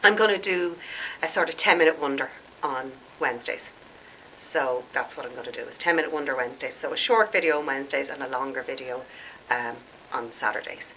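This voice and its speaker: Woman, late forties, deep but sharp-edged tone, congested, chatty rambling vlogger. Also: fairly quick, a little hiss or hum, poor audio. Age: late 40s. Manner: chatty, rambling, laughs at herself. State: congested, stuffed up. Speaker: skincare vlogger. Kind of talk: casual chat to camera.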